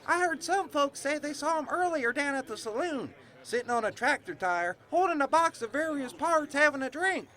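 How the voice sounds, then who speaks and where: Squeaky, florid farm boy. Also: lively intonation, normal speed, few pauses, pushed and bright, full character voice. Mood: informative. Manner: grandiloquent, effusive, formal wording. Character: eager, high-voiced farm boy, florid and earnest. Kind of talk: scripted comic audio drama acting.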